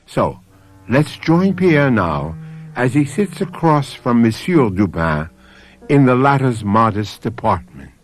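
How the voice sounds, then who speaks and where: Animated male radio mystery host. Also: mid-range voice. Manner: theatrical, measured, suspenseful. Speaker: host and narrator. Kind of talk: scripted radio mystery narration.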